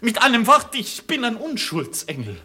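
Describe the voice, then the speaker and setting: An actor playing a despairing, bitter young craftsman accused of murder, in a theatrical 1950s radio play style, swinging between hushed and fervent. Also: very high voice, wildly swooping pitch, moderate pace, breathy edge, exaggerated voice. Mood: bitterly sarcastic.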